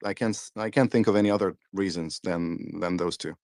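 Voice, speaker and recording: A man giving a measured analysis in a casual chat, pausing with fillers. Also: sits low, darkish tone, no echo, studio quality. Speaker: guest analyst.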